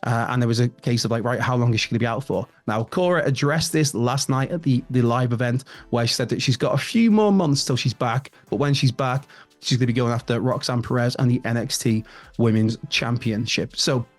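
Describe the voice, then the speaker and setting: Raspy man chatting wrestling news. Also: medium pitch, brisk. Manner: chatty, rambling, with frequent restarts and laughs. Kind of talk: casual news rundown.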